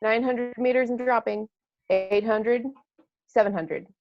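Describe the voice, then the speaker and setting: A woman's voice: fairly high pitch, steady tone, measured pace, highs cut off, faint music behind, lightly acted. A woman reading a terse spaceship navigator at an amateur table read. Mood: tense.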